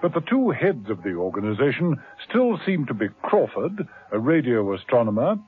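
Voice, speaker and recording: A man narrating a spy radio drama with lively, dramatic storytelling emphasis. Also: mid-range voice, normal speed. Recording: tinny old radio broadcast with music.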